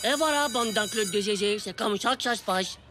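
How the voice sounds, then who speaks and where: A voice actor playing an excitable, naive ten-year-old schoolboy in a cartoon, whiny, slangy and easily panicked. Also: mid-range voice, brisk, lightly acted. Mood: smug. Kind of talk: animated comedy dialogue in French. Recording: music behind dialogue. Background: cartoon background music.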